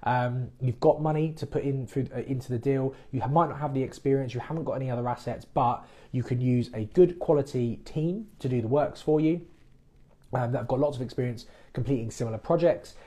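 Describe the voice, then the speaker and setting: A man talks through listener questions on his podcast in a relaxed, chatty manner, explaining things plainly. His voice is warm and mellow, pitched in an ordinary middle range. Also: a little fast. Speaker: mortgage broker and podcast host. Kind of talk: casual live Q&A podcast. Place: based in the Midlands.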